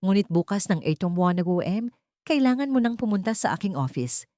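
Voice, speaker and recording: A woman voicing a serious male police officer in an audio story, calm, firm and official. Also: mid-range voice, a little fast, dry recording, clean studio sound, voice pushed up, lightly acted. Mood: softened, firm.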